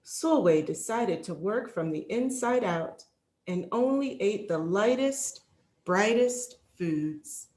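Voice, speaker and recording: A woman reading a children's picture book aloud in an expressive, deliberate storytelling manner. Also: medium pitch. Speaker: storybook reader, library executive. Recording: roomy, echoing sound.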